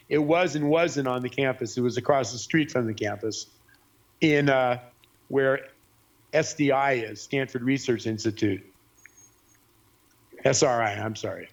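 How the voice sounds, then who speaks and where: A man speaking as an interview guest, recounting his life story haltingly with many fillers. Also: mid-range voice, lo-fi sound. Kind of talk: personal storytelling.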